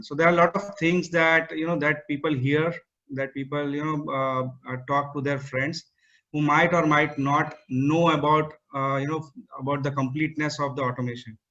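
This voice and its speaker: A man's voice: medium pitch, a bit bright. Hesitant man presenting on a call. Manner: hesitant, many fillers and restarts. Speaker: guest speaker. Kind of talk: online meetup presentation. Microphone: video call. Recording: muffled call audio.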